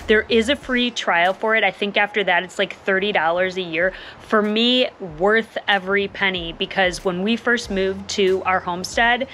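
A woman's voice: mid-range voice, normal speed, few pauses, fairly bright tone, a little hiss or hum, rough recording. A woman in her thirties talking casually to her phone camera on an outdoor porch, enthusiastic and chatty, repeating words for emphasis. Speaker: homesteading vlogger. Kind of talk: casual vlog talk to camera. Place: covered back porch of a house, outdoors. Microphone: phone camera at arm's length.

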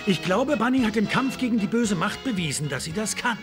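A man's voice: high voice, animated, brisk, music in the background. A man voicing a sensible, caring, slightly stern talking cat in an anime audio drama. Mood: concerned. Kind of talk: German-dubbed anime radio play.

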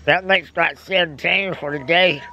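Easygoing man, fairly high voice. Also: fairly even intonation, normal speed, sharp tone, highs cut off, slight background noise. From United States. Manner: easygoing, folksy, talks while working. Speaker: homesteader vlogger. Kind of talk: casual chat while doing chores. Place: outdoor backyard chicken coop. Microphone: camera on wheelchair and handheld selfie. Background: faint birds and outdoor ambience.

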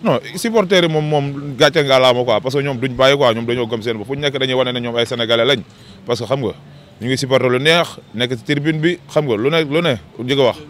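Opinionated male fan, quick delivery, outdoor street, handheld mic. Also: medium pitch, fairly bright tone, a little hiss or hum. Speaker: football fan interviewed. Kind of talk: street interview.

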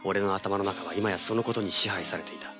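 A man's voice: sits low, steady tone, a little fast, music in the background, lightly acted. An actor playing a cocky, cool-headed young treasure hunter who narrates his own hard-boiled adventure in an audio drama. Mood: wry, confident. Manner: hard-boiled, self-assured.

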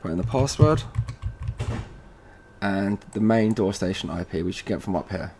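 A man presenting an informal software tutorial, casually thinking aloud as he goes step by step. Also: low-pitched, animated, moderate pace. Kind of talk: informal screen-recorded software walkthrough. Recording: noisy room with keyboard typing.

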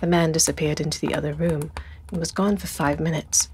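A woman's voice: sits low, fairly even intonation, a little fast, darkish tone, slight background noise. A woman narrating a spooky audiobook in a dramatic, scripted storytelling style. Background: atmospheric sound design and music.

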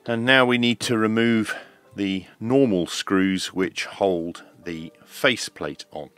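Slow, low-voiced man giving a tutorial. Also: fairly bright tone, clean tone, soft background music. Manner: measured, explanatory, step by step. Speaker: host of an electronics teardown channel. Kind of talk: hands-on tutorial commentary.

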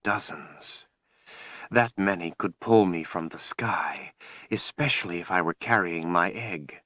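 A man's voice: low-pitched, unhurried, bright, forceful tone, no echo. A man voicing a proud, menacing female dragon in a fantasy audiobook, hissing with contempt. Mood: worried.

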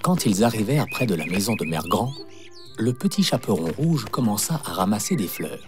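A man narrating a scripted fairy tale for children in an expressive storytelling manner. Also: on the low side, a little fast. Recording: music playing behind. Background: background music.

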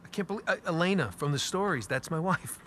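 An actor playing an earnest American adventurer in his thirties in a video game, speaking informally with halting stammers. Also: fairly high pitch, lively intonation, a bit bright, slight background noise. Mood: excited. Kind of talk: naturalistic cinematic game acting.

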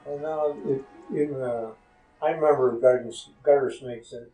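An older man answering questions as a podcast guest in halting, unhurried phrases. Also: mid-range voice, fairly even intonation, dark tone. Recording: roomy echo, music behind. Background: music.

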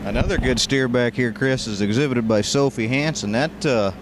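An American man offers live commentary as a livestock show co-commentator. His voice is middle-pitched and his talk is halting, with words repeated as he thinks. A clearly noisy arena is heard around him. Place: large indoor show arena.

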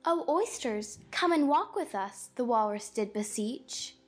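A woman's voice: on the high side, lively intonation, measured pace, faint music behind. A woman playing a pompous, comic twin boy reciting in a dramatized storybook audiobook.

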